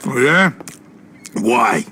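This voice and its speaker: An actor playing a brash, casual waterfront boss in a video game cutscene. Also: male voice, mid-range voice, sing-song, extremely slow, bright, forceful tone, a little hiss or hum, exaggerated voice. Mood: irritated, gruff.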